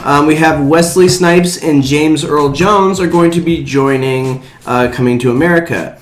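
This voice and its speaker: A man's voice: a little high, normal speed. A young man co-hosting a movie news podcast in a casual, chatty style. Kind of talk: casual chat. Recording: slightly echoey room, music underneath. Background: background music.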